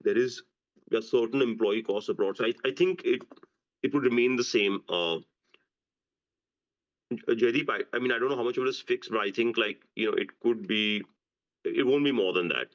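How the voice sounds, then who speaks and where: A man speaking as a company executive in unscripted business Q&A, halting and often restarting his phrases, on a conference call. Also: medium pitch. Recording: dull, lo-fi conference call audio.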